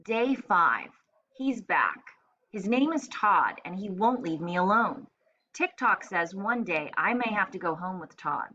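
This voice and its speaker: Storybook parrot female reader: sarcastic, put-upon, deadpan then indignant, unhurried and expressive, slightly muffled recording. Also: mid-range voice, exaggerated voice. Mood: deadpan, weary. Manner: deadpan, exasperated, dramatic complaining. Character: grumpy, sarcastic, indignant pet parrot keeping a diary. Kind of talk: children's storybook read-aloud in diary form.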